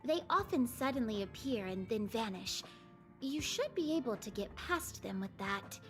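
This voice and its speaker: An actress voicing a secretive, coaxing woman who guards memories in a video game, speaking in a measured way. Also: fairly high pitch, lively intonation, a bit bright, soft background music. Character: secretive, softly insistent mysterious woman, keeper of memories.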